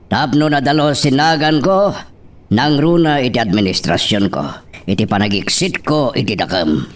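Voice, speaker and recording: A man with an Ilocano accent acting a scheming politician father in an audio drama, deliberate and full of pauses. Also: mid-range voice, natural voice. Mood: scheming, grave. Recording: slightly distant microphone.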